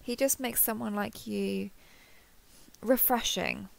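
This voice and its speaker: A woman's voice: medium pitch, steady tone, slow pace, gravelly, no echo. A woman playing a kindhearted, awkward young woman who gets easily flustered, speaking hesitantly and earnestly in an intimate roleplay audio drama.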